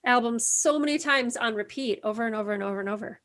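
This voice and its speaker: A woman's voice: on the high side, fast talker, a bit bright. A woman hosting a casual interview, encouraging her guest and laughing along. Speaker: podcast host.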